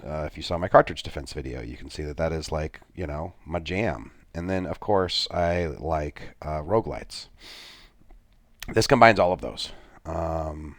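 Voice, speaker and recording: A soft-spoken middle-aged man giving a rambling, self-deprecating game walkthrough, full of filler sounds. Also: sits low, no echo. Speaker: gaming video creator. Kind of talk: gameplay walkthrough commentary.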